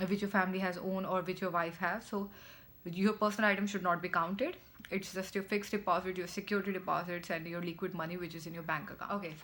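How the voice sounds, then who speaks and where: A woman's voice: mid-range voice, fairly bright tone. A young woman with an Indian accent, explaining step by step to her viewers in a vlog, often repeating her words. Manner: instructive, repeats phrases, speaks in short stepwise chunks. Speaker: vlogger.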